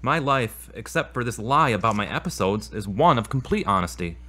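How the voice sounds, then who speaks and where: A man's voice: lowish voice, animated, normal speed, bright, forceful tone. A man playing an earnest, troubled boyfriend in an audio drama, speaking in solemn, formal phrases. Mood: defensive. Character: earnest troubled boyfriend admitting his lies and anger.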